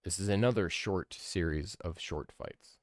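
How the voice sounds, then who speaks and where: A man's voice: low voice, a little slow, no room sound, studio quality. A man in his thirties giving casual game commentary as a streamer, with wry, grumbling asides. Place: small indoor room, livestream setup. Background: game music.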